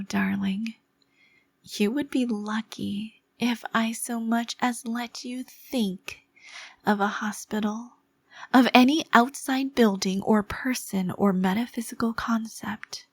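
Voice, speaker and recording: A woman playing an obsessive, possessive yandere girlfriend, menacing yet fragile and devoted, in a dramatic, halting ASMR roleplay style. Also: medium pitch, dry recording. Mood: cold menace.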